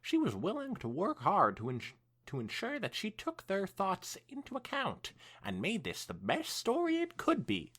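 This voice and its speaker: A podcast co-host narrates fan fiction. The delivery is highly animated in pitch, moving between storytelling and casual comment. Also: normal speed.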